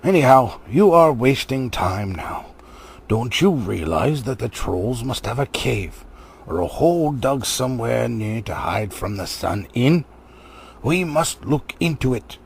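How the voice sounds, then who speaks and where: A man voicing a brisk old wizard in a storybook, scolding and commanding. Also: medium pitch, big pitch swings, gravelly, slight background noise. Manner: admonishing, commanding.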